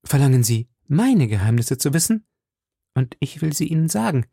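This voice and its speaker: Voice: medium pitch, big pitch swings, moderate pace, creaky, warm tone, husky, dry recording, clean studio sound, voice pushed up, heavily acted. A narrator voicing an earnest, anxious young woman with secrets, speaking formally and pleadingly in an audiobook. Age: young adult. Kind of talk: audiobook character dialogue.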